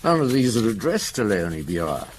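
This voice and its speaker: Low-voiced, shrewd detective. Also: male voice, sing-song, a little hiss or hum. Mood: probing, calm. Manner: probing, thoughtful, asks short measured questions. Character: shrewd, calm police chief inspector, a pipe smoker. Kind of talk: radio drama acting with first-person narration.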